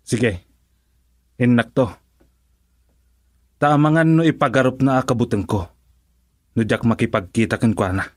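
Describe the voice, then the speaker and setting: A man's voice: medium pitch, unhurried, pauses often, no echo, close to an everyday voice. A proud, defiant husband in an Ilocano radio drama, played by a man. His mid-range voice is recorded dry and close, with a challenging, stubborn manner. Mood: defiant, irritated.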